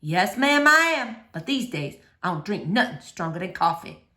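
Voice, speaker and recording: A wise old woman of a children's storybook, put on by a woman reading aloud. She speaks in folksy, plain words, kindly but firm. Her pitch moves around a great deal. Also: very animated, normal speed, bright, forceful tone. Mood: candid. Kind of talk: children's novel read aloud.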